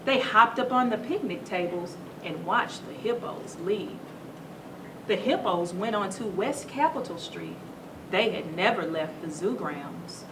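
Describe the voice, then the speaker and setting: A woman reading a children's story aloud to schoolchildren in a teacherly, animated way, stopping to put questions to them. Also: mid-range voice, measured pace. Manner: teacherly, asks the listeners questions. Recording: roomy echo, distant mic, noisy background.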